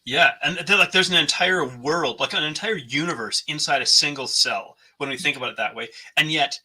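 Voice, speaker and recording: Man, podcast host, bright tone, husky, reflective interview chat. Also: a little high, a little fast. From North America. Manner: thoughtful, many restarts and fillers. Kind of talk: conversational interview.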